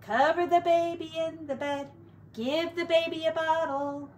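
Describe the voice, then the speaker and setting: A woman teacher doing an expressive read-aloud and sing-song play for young children, drawing out her words. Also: very high voice, a little hiss or hum. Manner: expressive, drawn-out words, hushed asides.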